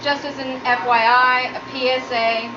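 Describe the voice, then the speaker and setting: A woman's voice: little pitch movement, normal speed. A woman giving a humorous prepared speech as a student, with a nervous, giggly delivery. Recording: muffled low-quality audio, noticeable background noise.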